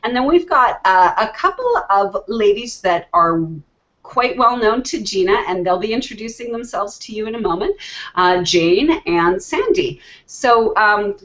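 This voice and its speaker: A woman's voice: mid-range voice, a bit bright, dull audio. A woman hosting an online show over a video call, chatty and friendly, often restarting her sentences. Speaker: host. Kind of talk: casual video call chat.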